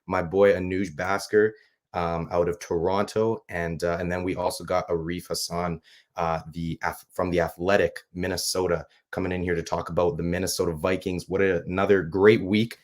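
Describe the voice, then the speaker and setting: A man hosting a casual sports talk show, his voice raspy from a cold, talking loosely with many fillers and restarts. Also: deep voice, level tone, normal speed. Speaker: show host.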